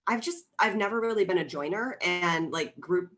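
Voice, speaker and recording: A shy, introverted audience member played by a woman with a slightly low voice. She speaks tentatively, hesitating as she goes, in naturalistic audio drama style. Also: moderate pace, fairly bright tone, faint music behind, close to an everyday voice.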